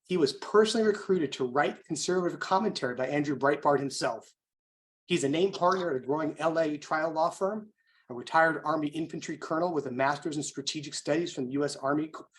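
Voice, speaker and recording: An American man speaking as an event host, delivering an emphatic, rhetorical motivational introduction with deliberate phrasing. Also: a little high, rough recording. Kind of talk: motivational speech introducing a guest.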